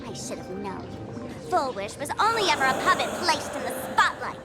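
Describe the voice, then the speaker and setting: A woman's voice: high voice, sing-song, normal speed. A woman voicing a sardonic, weary young female trickster in an anime game, dramatic and given to sighs, with music behind her. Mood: wry, challenging. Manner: sighing, dramatic, sarcastic.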